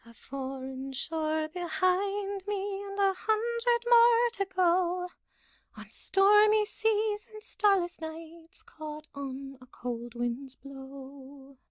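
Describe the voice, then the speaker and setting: A woman singing a mournful, slow ballad in the manner of a lullaby. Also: very high voice, no echo, clean studio sound, faint music behind.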